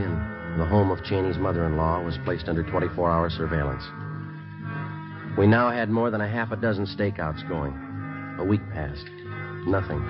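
A man's voice: low voice, natural voice. An actor playing a male police detective who narrates the case in clipped, matter-of-fact sentences, in a 1950s radio drama. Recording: muffled old radio broadcast with music.

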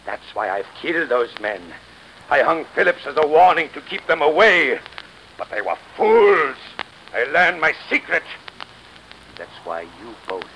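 On a noisy old 1930s radio recording, a greedy, murderous male villain. He is theatrical and gloating, with slow, deliberate menace and big swings in pitch. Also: very animated, pushed and bright, full character voice.

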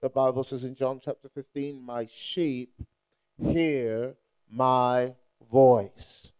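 A man's voice: mid-range voice, fairly even intonation, slow pace, a little mellow, clean tone. A young adult man from Nigeria preaching a playful, charismatic sermon and rousing the crowd with call-and-response.

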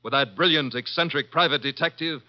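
A man's voice: fairly high pitch, a little fast. A man announcing radio programs in an emphatic, showman-like 1950s promotional style. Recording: muffled 1950s radio recording.

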